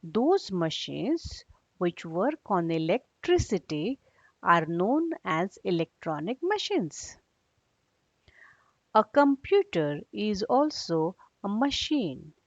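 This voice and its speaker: A woman teacher giving a read-along lesson for young children, explaining in a didactic way and checking understanding with short questions. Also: on the low side. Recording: dull, echoey room recording.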